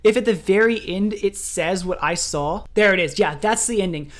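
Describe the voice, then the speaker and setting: A woman's voice: mid-range voice, normal speed. A woman game streamer giving a ranting commentary, emphatic and repeating phrases for emphasis.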